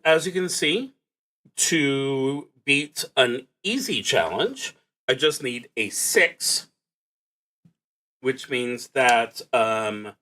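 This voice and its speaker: Guy streaming a solo tabletop rpg, slow talker, reading rules and thinking out loud, kinda halting. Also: mid-range voice. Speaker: host streaming a solo tabletop roleplaying game. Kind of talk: casual livestream rules explanation.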